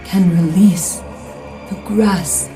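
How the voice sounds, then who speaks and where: A woman's voice: medium pitch, steady tone, very slow. An actress playing a stern, commanding goddess in a video game, solemn and authoritative, with an echoing reverb on her voice. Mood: solemn, urgent. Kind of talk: dramatic video game acting. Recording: echoey, distant, loud music under it. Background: loud music.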